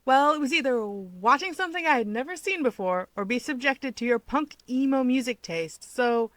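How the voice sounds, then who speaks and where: A woman's voice: sits high, expressive, moderate pace, a bit bright, no echo, voice pushed up. A woman voicing a cocky, insistent young male hero from a fan story, with a taunting, emphatic delivery. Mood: defiant.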